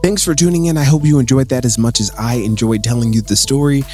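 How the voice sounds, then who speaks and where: A man's voice: medium pitch, quick pace, dark tone. Man recapping a comic over music. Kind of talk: casual story recap commentary. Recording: voice with music underneath.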